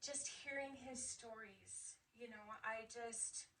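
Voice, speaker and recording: A middle-aged American woman with a soft voice, casually telling personal stories as a veteran being interviewed. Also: fairly high pitch, slow. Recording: distant mic with faint noise.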